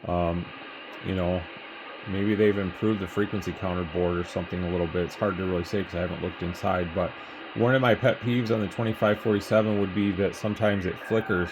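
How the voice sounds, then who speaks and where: Male reviewer, low register, informal rambling demo style, home phone recording with faint noise behind. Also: fairly even intonation, brisk. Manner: rambling, hands-on explaining. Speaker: radio equipment reviewer. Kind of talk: casual product demonstration. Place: home room with radio test equipment. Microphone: phone camera.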